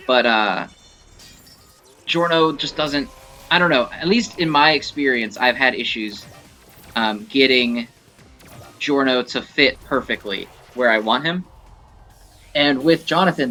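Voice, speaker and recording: A man giving casual gameplay commentary, thinking aloud in a halting, self-correcting way as he explains things. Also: medium pitch, pushed and bright, a little hiss or hum. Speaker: gaming video host. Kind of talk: casual rambling game commentary.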